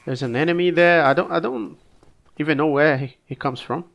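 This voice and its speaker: A man with a cold casually commenting on his own gameplay, relaxed and halting, coughing now and then. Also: fairly high pitch, gravelly. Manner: relaxed, halting, frequent restarts and fillers. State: sick, coughing.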